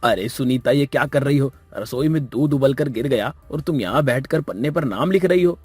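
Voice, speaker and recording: Man voicing annoyed husband, husky, medium pitch, impatient grumbling, family story, light music behind. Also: normal speed, a little mellow, no room sound, lightly acted. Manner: irritated, complaining.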